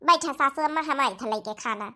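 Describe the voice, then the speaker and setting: A woman's voice: high-pitched, brisk, bright, forceful tone. A woman voicing a chatty, obliging village daughter-in-law in a cartoon, speaking with an Awadhi accent in a homely way. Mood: resigned. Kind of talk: cartoon comedy dialogue in rural dialect.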